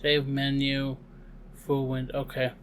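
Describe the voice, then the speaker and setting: A man's voice: medium pitch, monotone, slow, fairly bright tone, slight background noise. Man giving casual game playthrough commentary. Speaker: gaming video host.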